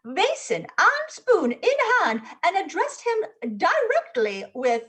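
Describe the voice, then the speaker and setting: A woman's voice: very high-pitched, huge pitch swings, takes it slowly, a bit bright, heavily acted. A woman playing a cruel orphanage matron, obsequious and affected, in a theatrical stage play reading. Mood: proudly cruel.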